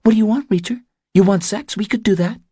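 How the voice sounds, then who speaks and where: A man voicing a small, cowed, desperate woman in an audiobook, pleading in an urgent, breathy way. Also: high-pitched, fast, warm tone, no room sound, clean studio sound, voice pushed up.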